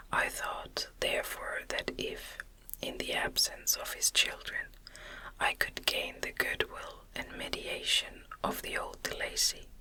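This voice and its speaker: A woman reading a classic novel as ASMR, in a hushed, deliberate whisper. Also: low-quality recording. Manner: slow, deliberate, hushed phrasing. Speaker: audiobook reader.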